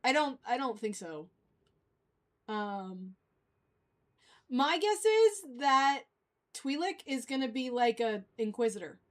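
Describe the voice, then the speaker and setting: High-voiced woman chatting casually. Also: animated, slow pace, pauses often. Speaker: livestream host. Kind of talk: casual fan chat.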